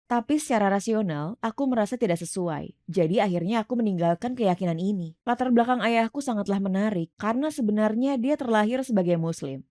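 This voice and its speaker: A woman's voice: mid-range voice, expressive, brisk, mellow, dry recording, studio quality. A middle-aged woman from East London, telling her life story in an earnest, reflective interview. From East London, England. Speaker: interview guest. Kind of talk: personal testimony in an interview.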